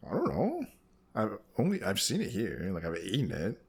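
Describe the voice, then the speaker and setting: A man's voice: on the low side, huge pitch swings, moderate pace, creaky, slightly rough. A man from Canada in casual chat as a podcast co-host.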